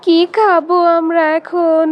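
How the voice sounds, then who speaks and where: A woman's voice: extremely high pitch, steady tone, takes it slowly, muffled recording. A woman voicing a poor, caring, hard-working village mother in a children's cartoon. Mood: distraught.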